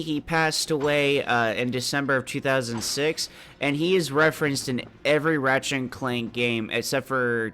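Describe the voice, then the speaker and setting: A man's voice: medium pitch, a bit bright. A man with a stuffy, congested nose, chatting casually as host of a let's play. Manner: chatty with fillers and laughs. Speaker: gaming channel host.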